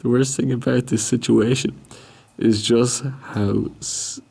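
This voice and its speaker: A man's voice: mid-range voice, steady tone, takes it slowly, vocal fry, dark tone, a little hiss or hum. Young Irish man vlogging casually. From Ireland. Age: 20s. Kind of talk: casual adventure vlog to camera.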